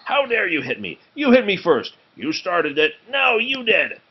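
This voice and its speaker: A man reading a children's tale, voicing a hot-tempered ogre who squabbles loudly and growls. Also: high voice, big pitch swings, measured pace, creaky, husky, dull audio, pitched above the performer's own voice, full character voice. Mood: furious squabbling.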